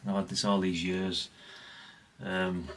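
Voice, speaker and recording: Male vlogger, fifties, lowish voice, unscripted and rambling, faint background noise with rustling. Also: little pitch movement, slow, fairly bright tone. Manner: rambling, chatty, with asides. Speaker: vlogger showing vintage CB radios. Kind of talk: casual, unscripted chat.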